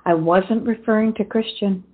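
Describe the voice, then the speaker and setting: A woman's voice: medium pitch, normal speed, slightly rough, phone-quality sound, close to an everyday voice. A woman playing a blunt, outspoken, loyal friend who scolds theatrically in a period stage comedy. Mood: scolding.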